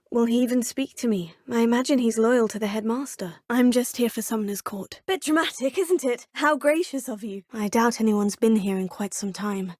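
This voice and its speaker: A woman's voice: on the high side, darkish tone, no echo. A young woman with a British accent voicing a polite, curious young witch student in a video game, speaking in a composed, slightly formal way. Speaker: player character in a video game. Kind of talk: game dialogue lines. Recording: dry studio recording.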